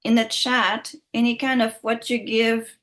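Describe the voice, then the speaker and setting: A woman's voice: on the high side, flat delivery, a little slow, a bit bright. A woman hosting a live question-and-answer session on a video call, patient and encouraging as she asks questions.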